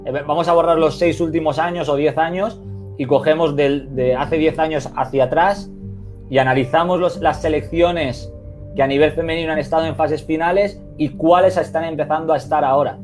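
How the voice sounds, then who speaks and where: A man's voice: a little high, steady tone, brisk, a little mellow, soft background music. A man with a Spain Spanish accent talks as a football coach on a video call. He is reflective and stresses his points firmly. He often restarts his sentences and fills the gaps with "eh". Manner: reflective, emphatic, many restarts and "eh" fillers. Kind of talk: webinar interview answers.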